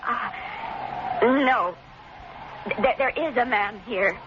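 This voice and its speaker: An actress playing a desperate, devoted wife with an earnest, halting delivery in an old-time radio drama. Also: female voice, on the high side. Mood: frightened. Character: desperate, devoted wife trapped by a dangerous man. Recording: noisy, dull old-time radio recording.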